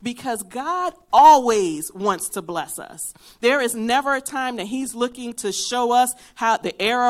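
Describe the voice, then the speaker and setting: A woman from Philadelphia with a faint Philadelphia accent, preaching a sermon in an earnest, emphatic way and weaving in a personal story. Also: a little high. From Philadelphia, USA.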